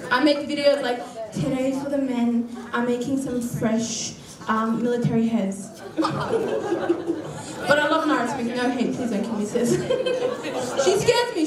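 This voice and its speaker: A woman from Somalia doing a chatty, self-mocking stand-up set on a club stage. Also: sits high. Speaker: stand-up comedian. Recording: roomy club sound from a distance with crowd laughter.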